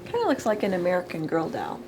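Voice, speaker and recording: A woman's voice: fairly low pitch, wildly swooping pitch, fast talker. Woman reading a picture book, noisy recording. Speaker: storytime reader. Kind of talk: expressive children's picture book read-aloud.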